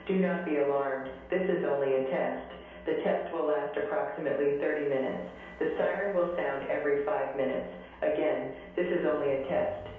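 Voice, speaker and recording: A man's voice: fairly high pitch, close to an everyday voice. Echoing, tinny male-acted public address announcer. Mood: calm, official. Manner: formal, measured, impersonal. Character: calm male public siren-test announcer. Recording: echoing, phone-like public address over music.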